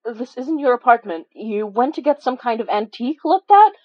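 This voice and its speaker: An actress playing an anxious, earnest woman investigator, speaking haltingly in an audio drama. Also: a little high, expressive, dark tone, dry recording, natural voice. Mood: worried, pressing. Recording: dry, slightly dull close recording.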